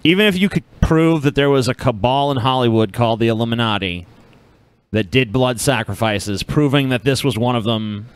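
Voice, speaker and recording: A man's voice: medium pitch, moderate pace, clean tone, dry recording. Sardonic man chatting on a podcast. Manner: sardonic, foul-mouthed. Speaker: podcast co-host. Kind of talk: casual chat.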